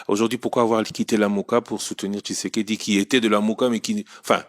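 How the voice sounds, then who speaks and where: A male interviewer with a fairly low voice questions a politician. He pushes back quickly, interrupting to challenge her answers. His tone stays pointed and inquisitive. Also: level tone. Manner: probing, interrupts with follow-up questions.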